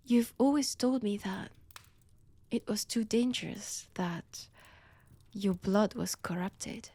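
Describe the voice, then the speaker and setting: A breathy female vampire with a French accent, played by a woman, speaks in unhurried, deliberate phrases. She is seductive and teasing with quiet menace, often slipping toward a whisper. The audio roleplay sounds intimate, close and very dry. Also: mid-range voice, no echo. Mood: taunting. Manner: slow, teasing, deliberate delivery. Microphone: close, dry microphone.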